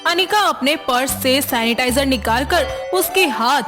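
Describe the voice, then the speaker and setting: A woman's voice: high voice, expressive, unhurried, music in the background. A woman narrator reading a scripted moral story in the manner of a children's tale.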